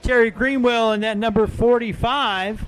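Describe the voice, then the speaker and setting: A man's voice: very high-pitched, steady tone, normal speed, a bit bright, clean tone, poor audio. A man working as a dirt track race announcer, calling out drivers, car numbers and lap times in live commentary. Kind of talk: live motorsport commentary over a PA, reading names and times.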